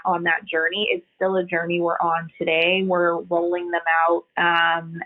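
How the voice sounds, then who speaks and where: Woman exec guest, husky and gravelly, earnest and halting, heard over a tinny phone line. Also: lowish voice. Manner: earnest, explanatory, frequent hesitations. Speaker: HR executive, podcast guest. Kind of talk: conversational interview answers.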